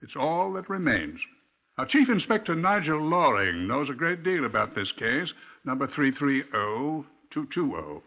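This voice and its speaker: An actor playing a musing British police museum curator, narrating with dry, macabre wit in a radio drama. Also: male voice, a little high, very animated, a little slow, exaggerated voice. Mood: musing, wry. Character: genial, grimly wry police museum curator. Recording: dull old radio recording.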